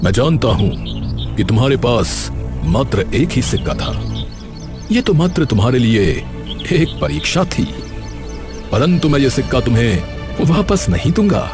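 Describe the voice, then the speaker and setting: A man playing a solemn, mysterious old wandering sage in a cartoon story, speaking in measured, formal pronouncements. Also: mid-range voice, big pitch swings. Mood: solemn, assuring. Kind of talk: Hindi cartoon story character dialogue. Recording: music behind the voice.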